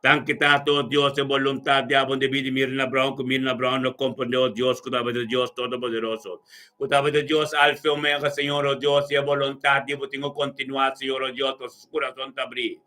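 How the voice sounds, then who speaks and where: Fervent man praying in a bright, forceful voice. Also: mid-range voice, little pitch movement, normal speed. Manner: fervent, repetitive, chant-like invocations. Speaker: prayer leader. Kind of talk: extemporaneous charismatic prayer.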